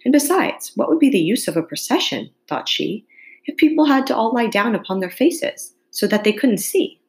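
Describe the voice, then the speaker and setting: A woman's voice: a little high, lively intonation, normal speed, darkish tone. A woman reading a storybook aloud to students like a teacher, carefully and with expression.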